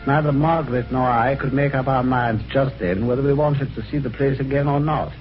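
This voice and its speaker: A man's voice: medium pitch, a little fast, close to an everyday voice. An actor playing a well-spoken British amateur sleuth, telling his story conversationally in a radio mystery. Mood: measured storytelling. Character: genteel British amateur sleuth recounting his eerie adventure. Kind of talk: 1950s radio mystery acting, first-person narration. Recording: dull old radio recording, music behind.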